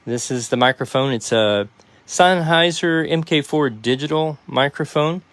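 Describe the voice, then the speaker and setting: A man talks casually to the camera. His voice is clear and smooth, a little high for a man, and recorded dry. He rambles in a folksy, hesitant way, like a host showing people around. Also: unhurried, fairly bright tone, dry recording. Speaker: podcast creator and host. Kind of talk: casual behind-the-scenes chat to viewers.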